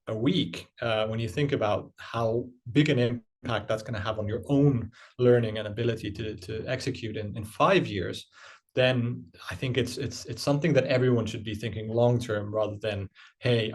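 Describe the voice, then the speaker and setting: A man from Finland who grew up largely abroad, answering interview questions in a conversational way, with frequent fillers and restarts. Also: medium pitch. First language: Finnish. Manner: hesitant, many fillers and restarts. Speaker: guest, marketing executive.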